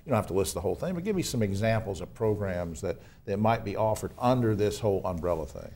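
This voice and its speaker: A man's voice: on the low side, lively intonation, quick pace, dark tone, slight background noise. A man in his sixties hosting a conversational TV interview in a folksy, enthusiastic manner. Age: 60s. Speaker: TV talk show host.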